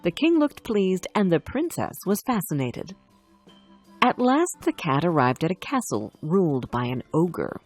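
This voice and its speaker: A woman narrates a children's tale from a script. Her voice is somewhat low and husky, with highly varied intonation. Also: sing-song, unhurried, darkish tone. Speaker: storyteller. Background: faint music.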